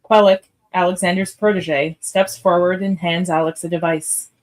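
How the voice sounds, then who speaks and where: A woman's voice: lowish voice, little pitch movement, normal speed. A woman reading stage directions as the narrator of a live script read.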